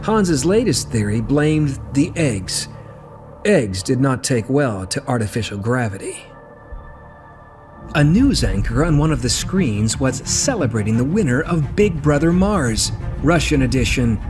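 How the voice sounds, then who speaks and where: A man's voice: medium pitch, big pitch swings, measured pace. A man reading scripted narration from a novel as its narrator. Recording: prominent music under the narration. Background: music.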